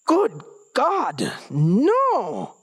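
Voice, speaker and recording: A man voicing a curious, excitable young schoolboy who questions and exclaims in a story reading. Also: high voice, extremely slow, breathy edge, dry recording, studio quality, pitched above the performer's own voice, exaggerated voice. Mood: playfully disgusted.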